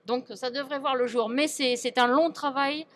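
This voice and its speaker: Higher-voiced woman, halting interviewee, noisy background. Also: steady tone. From France. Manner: halting, with many repeated words and self-interruptions. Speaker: elected local official being interviewed. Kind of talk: informal interview answers.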